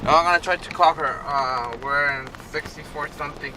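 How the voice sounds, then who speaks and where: A man talking casually in an unscripted vlog as he reviews gear, in a rambling, halting way. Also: fairly high pitch. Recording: clear background noise, vehicle sounds.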